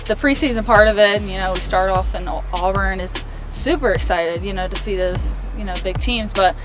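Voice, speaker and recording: A young woman, a college softball senior, answering a preseason interview in an upbeat, casual way with many fillers. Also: medium pitch, normal speed, over music. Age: young adult.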